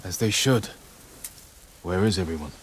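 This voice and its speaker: Actor as a low-voiced, stoic samurai. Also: male voice, noisy background, close to an everyday voice. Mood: watchful. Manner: measured, terse, firm. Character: stoic, honorable male samurai warrior, calmly resolute. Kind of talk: dramatic video game cutscene acting.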